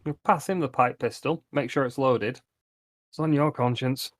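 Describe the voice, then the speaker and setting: A man's voice: mid-range voice, moderate pace, dry recording, studio quality. A man playing a tabletop game, speaking casually in short, blunt lines.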